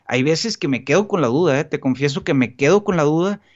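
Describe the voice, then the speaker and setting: A man's voice: on the high side, expressive, fairly quick. Earnest talkative man, muffled audio. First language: Spanish. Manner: earnest, rambling with many restarts and fillers. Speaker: guest. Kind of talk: casual podcast conversation.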